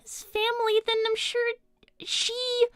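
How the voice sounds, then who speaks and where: A woman's voice: extremely high pitch, level tone, slow, fairly bright tone, dry recording, studio quality, exaggerated voice. A woman voicing a girlish cartoon hedgehog who pushes her questions insistently as an indignant interviewer in an improvised chat.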